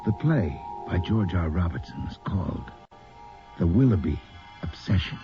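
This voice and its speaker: A very low-voiced, ominous male horror host introduces a radio play slowly and deliberately. His delivery swings theatrically over music on a dull old recording. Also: sing-song. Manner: slow, deliberate, sinister. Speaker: show host and announcer.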